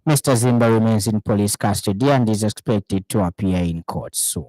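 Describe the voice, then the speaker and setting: A man with a Zambian accent reading a scripted radio news bulletin in a formal, measured manner. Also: fairly low pitch, a little mellow, dry recording, low-quality recording. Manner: formal, measured newsreader delivery.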